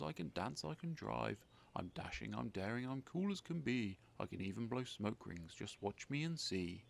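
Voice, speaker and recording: A man's voice: mid-range voice, creaky. A man voicing a boastful, vain scarecrow villain in a storybook, pompous and dismissive, with faint noise behind.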